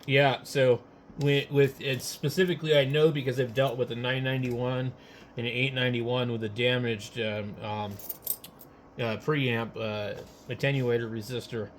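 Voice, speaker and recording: Guy doing casual ham radio tech talk, kinda halting, thinking out loud, noisy background. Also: medium pitch, fairly even intonation. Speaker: amateur radio operator. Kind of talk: casual technical chat.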